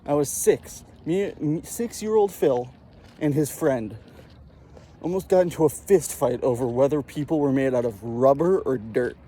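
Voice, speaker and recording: A man's voice: mid-range voice, normal speed, a little hiss or hum. A man telling personal stories in a casual, profane, comedic way, acting out the dialogue as he goes. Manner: profane, animated retelling with acted dialogue. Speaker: storyteller.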